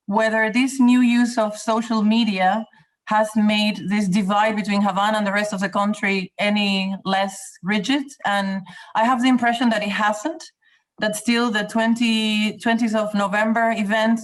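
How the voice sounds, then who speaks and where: A Cuban woman with a Cuban accent, a native Spanish speaker, asking a question at an online talk in a halting, thoughtful, self-correcting way. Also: medium pitch. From Cuba. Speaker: audience member asking a question. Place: video call.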